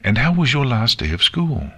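A man's voice: mid-range voice, very animated, normal speed, sharp tone, slightly rough, pitched above the performer's own voice, exaggerated voice. A man voicing a kindly, encouraging adult female pony in a storybook reading. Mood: pleased.

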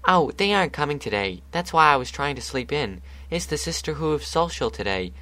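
A man's voice: sing-song, fairly quick, bright, forceful tone. A man voicing a stubborn, indignant young female pegasus filly in a raised, earnest voice. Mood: casual. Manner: pitched up, earnest and upset.

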